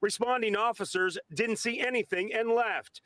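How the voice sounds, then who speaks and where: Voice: mid-range voice, moderate pace, a bit bright. A man reading scripted news narration as a television reporter.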